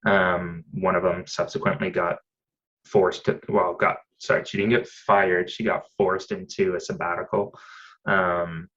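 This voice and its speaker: A man's voice: low-pitched, level tone, unhurried, fairly bright tone, highs cut off. A man, chatting casually in a rambling way with lots of fillers and restarts. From Canada. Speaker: podcast guest. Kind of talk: casual conversation.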